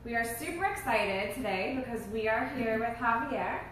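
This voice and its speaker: A woman's voice: on the high side, expressive, moderate pace, a bit bright, a little hiss or hum. A woman co-hosting a casual live chat, bubbly and chatty as she talks with a chef. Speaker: co-host. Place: restaurant. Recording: echoey room, mic far off.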